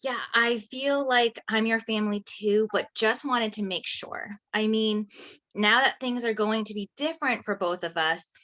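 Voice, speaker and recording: An actress at a table read playing an emotional, chatty teenage best friend. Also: female voice, a little high, moderate pace, pushed and bright.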